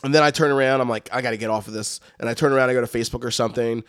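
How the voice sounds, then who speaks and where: A male podcast host chats in a relaxed, slangy way. His voice is smooth and clean, recorded close with no room sound. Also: medium pitch, rapid-fire, no room sound. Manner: easygoing, slangy, laughs along. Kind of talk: casual podcast chat.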